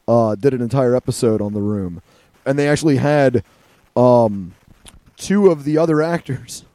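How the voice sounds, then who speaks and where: A man's voice: mid-range voice, moderate pace, dark tone, no room sound. A man co-hosting a podcast, chatting loosely about a movie with plenty of fillers. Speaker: podcast co-host. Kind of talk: casual movie review chat.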